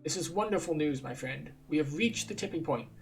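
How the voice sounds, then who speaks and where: A man's voice: fairly high pitch, expressive, brisk, vocal fry, soft background music. A man voicing an earnest, eager villager ally in a fantasy game. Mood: relieved.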